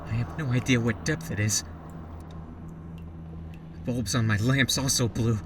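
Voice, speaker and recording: A man's voice: measured pace, natural voice. An actor playing a man trapped in a diving suit on the sea floor, talking himself through his fear. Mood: frightened. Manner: breathless, talking to himself, rising into screams. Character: male deep-sea diver trapped, panicking. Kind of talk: amateur radio play acting. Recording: distant mic with steady underwater rumble.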